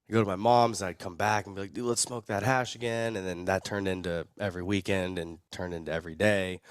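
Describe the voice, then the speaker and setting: A man's voice: sits low, clean tone, dry recording, studio quality. A young American man with a cold, telling his story in a casual, slangy way as a podcast guest. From Arizona, United States. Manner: laid-back slangy, chuckles at own story. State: sick with a cold. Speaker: podcast guest, recovery video producer.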